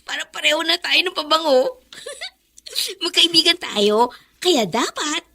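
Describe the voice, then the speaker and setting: A woman's voice: sits high, expressive, a little slow, gravelly, pushed and bright, heavily acted. A woman playing a chatty, brash and playful teenage student in a radio drama. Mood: playful, giggly. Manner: talkative, exuberant, teasing.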